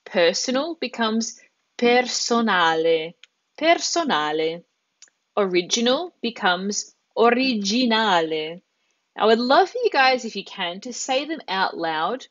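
A woman's voice: on the high side, very animated, fairly bright tone, no room sound. Australian woman in her thirties, upbeat online language teacher on a headset, dry, dull stream sound. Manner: encouraging, chatty, drawn-out careful pronunciation. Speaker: Italian teacher. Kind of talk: live online lesson.

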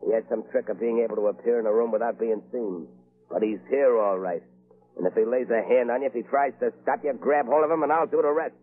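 A man's voice: medium pitch, fast, lightly acted. Guy doing tough mean gang boss barking threats, old radio play, tinny sound. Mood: urgent, commanding. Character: ruthless, hot-tempered male crime boss, threatening and scornful. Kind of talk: 1930s radio drama acting. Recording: tinny narrowband 1930s radio recording.

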